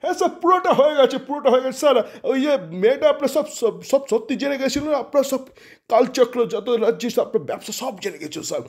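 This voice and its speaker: A man's voice: very high-pitched, lively intonation, brisk, pitched above the performer's own voice. A man voicing an anxious, deferential hired killer who protests and repeats himself to his boss in an amateur audio story. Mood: eager, reporting. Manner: pleading, repetitive, addressing his boss as sir. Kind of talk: amateur audio-fiction dialogue.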